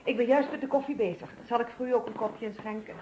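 A woman's voice: fairly high pitch, fairly even intonation, fast talker, dark tone, lo-fi sound, close to an everyday voice. An actress playing a hospitable, solicitous wife in a Dutch radio play, chatting politely and fussing over her husband. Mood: hospitable, concerned. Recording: noisy old radio recording.

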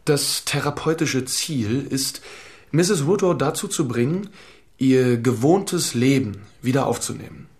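A man playing a smooth, patronising psychotherapist in a crime radio drama, with a measured, formal and insistent manner. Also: medium pitch, lively intonation, a little mellow. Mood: reproachful. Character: smooth, patronising male psychotherapist, defensive and flirtatious. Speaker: supporting actor.

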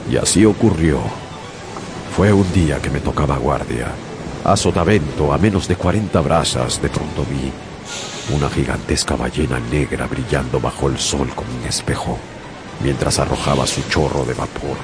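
A sailor narrates a sea tale in a radio play, measured and steady. His voice is extremely deep. Loud music runs beneath the narration. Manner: measured, storytelling. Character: man, sailor recounting a whale hunt.

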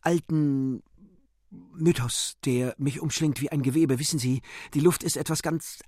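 A man's voice: fairly high pitch, a little slow, darkish tone, husky, dry recording, clean studio sound, pitched above the performer's own voice. A man voicing a tormented female circus acrobat in a rapturous, agitated, declaiming manner for a literary radio drama. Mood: agitated, desperate. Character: feverish, tormented female circus acrobat obsessed with a phantom lover.

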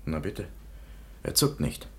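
A man's voice: slow, close to an everyday voice. An actor playing a perplexed, somewhat dismissive police commissioner giving his report in a radio play. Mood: dismissive, unsettled. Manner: animated storytelling, dismissive.

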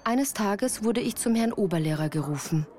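A woman's voice: mid-range voice, expressive, moderate pace, darkish tone, close to an everyday voice. An actress as a woman recounting her childhood in composed, literary first-person narration for a radio drama. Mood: sober, reflective. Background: music.

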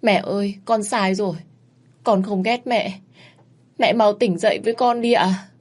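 A woman's voice: medium pitch, steady tone, measured pace, darkish tone, pitched above the performer's own voice, natural voice. A woman voicing a sobbing young girl, the little sister, tearful and pleading.

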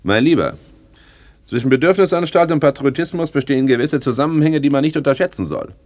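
A man's voice: fairly high pitch, fast, darkish tone, lightly acted. An actor playing a slick, self-important small-time crook turned businessman, pompous and self-satisfied, in a radio play. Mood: smug. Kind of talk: 1960s German radio play acting.

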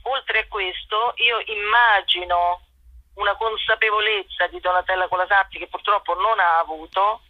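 Female interview guest, mid-range voice, halting conversational delivery, dull phone-line sound. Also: mid-range voice, steady tone, no echo. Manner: halting, self-correcting. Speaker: actress and playwright, guest. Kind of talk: spontaneous radio interview about own show.

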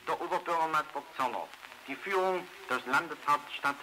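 A man's voice: a little high, a little fast, bright, forceful tone. A man announcing formally and declamatorily in the style of a 1930s radio report. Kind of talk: 1930s German radio report, scripted narration. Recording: noisy old archival radio recording.

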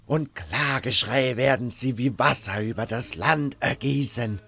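A man performs a fanatical cult preacher from a fantasy audio drama. He declaims in solemn, insistent repetitions, rousing the faithful, with some gravel in the voice over faint music. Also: medium pitch, measured pace, pushed and bright, exaggerated voice. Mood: menacing, prophetic. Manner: declamatory, rhetorical, repeating phrases insistently.

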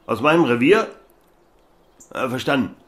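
A man's voice: medium pitch, sing-song, measured pace, a bit bright. An actor playing a blustering, blunt village policeman in a radio drama, bossing people about. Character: blustering village policeman, grown son bossing his mother.

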